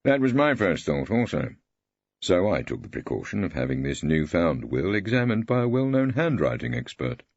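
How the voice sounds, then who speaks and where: A lugubrious, polite older gentleman solicitor in an audiobook, voiced by a male reader. He speaks in measured, formal sentences with a husky tone. The recording is dry and a little dull. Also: low voice, very animated, no echo, full character voice. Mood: grave, eloquent.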